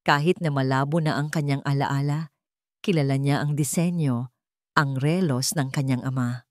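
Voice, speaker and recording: A woman's voice: a bass voice, steady tone, normal speed, dry recording, studio quality. A woman narrating a scripted story in a dramatic storytelling style.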